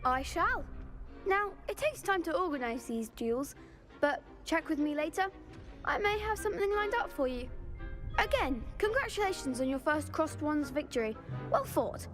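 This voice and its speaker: Game role: eager school duel organiser, notably high voice, showy and brisk, music clearly underneath. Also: female voice, sing-song. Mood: excited. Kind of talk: video game dialogue acting.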